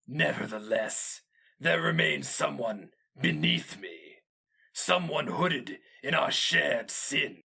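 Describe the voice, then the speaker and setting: A man performs a stern fantasy hero mage in a storybook reading. He speaks with mild, deliberate menace and open contempt. The tone is forceful and clearly edged. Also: mid-range voice, lively intonation, pitched above the performer's own voice, full character voice. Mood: stern, suspicious.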